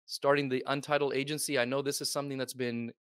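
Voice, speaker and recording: A man's voice: medium pitch, little pitch movement, fast, a bit bright, no room sound. Earnest male podcast host, dry sound. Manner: friendly, earnest, many fillers. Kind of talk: casual interview chat.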